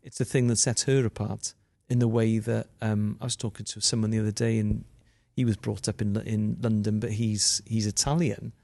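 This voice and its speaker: A man's voice: fairly low pitch, flat delivery, moderate pace, creaky, dark tone, no room sound. A Welsh man who grew up speaking Welsh, talking as a comedian guest in an interview, chatty with lots of restarts and asides. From Wales. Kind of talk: conversational interview answers.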